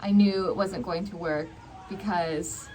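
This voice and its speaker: A woman's voice: fairly low pitch, steady tone, a little slow, a crowd in the background. A young woman in a casual book chat, talking informally and hesitantly with many fillers. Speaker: book vlog co-host. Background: ambient noise, people in the distance.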